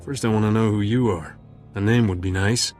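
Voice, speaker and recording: An actor playing a roguish, dry and sardonic smuggler captain in a video game. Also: male voice, fairly low pitch, fairly quick, darkish tone, soft background music, lightly acted. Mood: wry.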